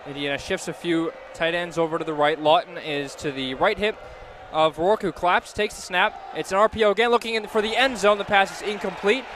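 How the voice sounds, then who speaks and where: High-voiced man, radio football play-by-play, live game commentary, excitable on big plays. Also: a little fast, a faint crowd, slight background noise.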